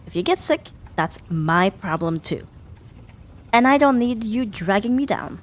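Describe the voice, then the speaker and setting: A sharp-tongued tsundere young woman, played by a female voice actor in an ASMR roleplay. Her mid-pitched voice swings animatedly through huffy, sarcastic jabs. Under the irritation she is grudgingly caring. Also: lively intonation. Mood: bossy, grudging concern. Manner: sarcastic, huffy, theatrical sighs and drawn-out jabs. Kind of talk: ASMR roleplay audio drama addressed to the listener.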